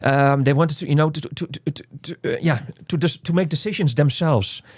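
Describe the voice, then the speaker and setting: A man's voice: a little high, fairly quick, a little mellow, no room sound, studio quality. A man casually hosting an unscripted video game review, chatty and rambling, often repeating words and phrases. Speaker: host of a game review channel.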